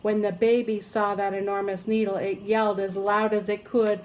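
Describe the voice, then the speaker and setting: A woman teacher reading a children's story aloud in expressive narration, stretching out repeated phrases for emphasis. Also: mid-range voice, normal speed, a little hiss or hum.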